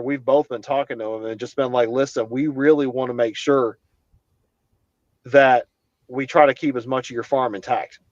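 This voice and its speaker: A man's voice: mid-range voice, no room sound, muffled recording. A young man from Kentucky talking earnestly as a podcast guest, often restarting his sentences as he rambles. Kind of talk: casual conversation.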